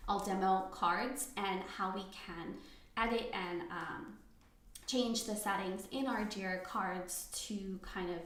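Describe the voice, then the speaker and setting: A woman giving a live webinar demo of software, chatty and checking in with her viewers. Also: fairly high pitch. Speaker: software trainer. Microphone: computer mic on a video call. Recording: echoey room.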